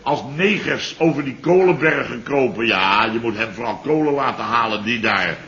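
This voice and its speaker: A man's voice: mid-range voice, expressive, moderate pace, sharp tone. A man playing a blustering, irritable middle-aged boss in a 1960s radio play who keeps protesting and grumbling. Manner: blustering, indignant, exclaims "te helle". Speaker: the boss ("chef"). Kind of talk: 1960s radio drama acting. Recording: dull lo-fi old radio recording with faint hiss.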